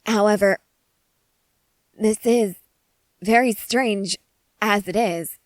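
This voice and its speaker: A woman playing an excitable, curious scientist in a scripted ASMR roleplay, speaking in eager, wordy, formal and apologetic phrases. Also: medium pitch, takes it slowly, no echo, natural voice. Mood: musing, apologetic.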